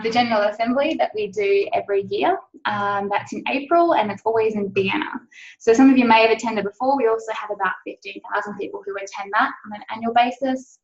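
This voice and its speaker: A woman's voice: on the high side, reverberant, lo-fi sound. Australian woman presenting a webinar. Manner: explanatory, frequent "um" fillers. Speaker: policy officer presenting a webinar.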